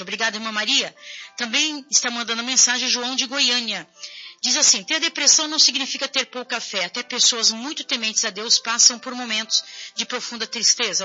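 Woman, Brazilian accent, hoarse, bright piercing tone, chatty TV host, faint music behind. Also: fairly high pitch, muffled recording. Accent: Brazilian Portuguese. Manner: chatty, addresses viewers directly. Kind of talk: casual talk show, reading viewer messages.

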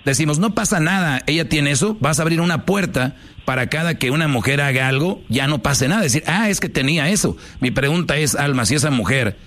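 A man's voice: a little high, expressive, fairly quick, few pauses, fairly bright tone. A man hosting a call-in talk show, speaking informally in a blunt, confrontational and slangy way. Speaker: talk show host taking calls.

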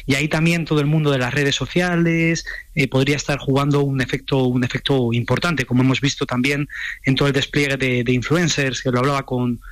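A man with an accent from Spain speaking as an expert guest in a radio interview, explaining in a measured, academic way. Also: mid-range voice, level tone, dry recording. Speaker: sociologist, university professor, guest expert.

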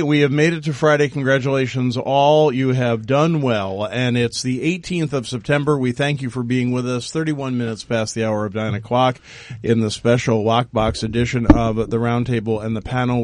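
A man's voice: mid-range voice, dry recording. Guy hosting a morning radio panel, chatty and rambly, dry studio mic. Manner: chatty, rambling, self-deprecating. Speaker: radio panel show host.

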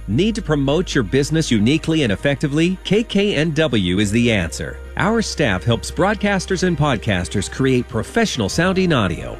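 A male announcer reads a radio station promo in a salesy, animated voice that swoops up and down. Music plays behind him. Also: mid-range voice.

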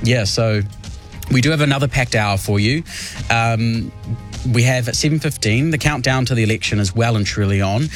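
A man's voice: mid-range voice, moderate pace, faint music behind. Young Kiwi man hosting radio. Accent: New Zealand. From West Auckland, New Zealand. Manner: upbeat, easygoing, with Pacific greetings. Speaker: guest radio host. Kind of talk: radio show presenting and casual banter. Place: radio studio.

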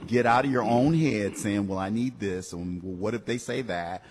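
A man's voice: on the low side, moderate pace, a little hiss or hum. A man hosting a live radio talk show, encouraging callers in a preachy, faith-filled way. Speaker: radio host.

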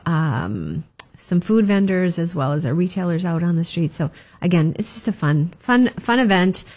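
Brief: lowish female chamber rep, upbeat, promo tone, lots of ums. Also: a little mellow. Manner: upbeat, filler-heavy, promotional. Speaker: chamber of commerce guest. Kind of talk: community announcements in casual chat.

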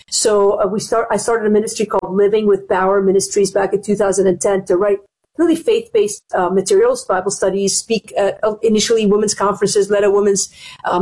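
A middle-aged woman from Lebanon talks as a guest on a radio show. She speaks at an everyday pitch and pace, with plenty of "uh" and restarts as she explains her work. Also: poor audio. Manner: warm, talkative, many fillers and restarts. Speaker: guest, doctor and ministry leader. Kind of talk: casual interview chat.

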